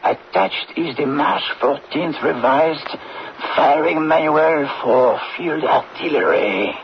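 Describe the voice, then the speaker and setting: Imperious French minister, high-pitched, gravelly, impatient, exclamatory, radio drama, dull noisy old recording. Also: male voice, slow pace. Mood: alarmed. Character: testy, imperious French government minister, man.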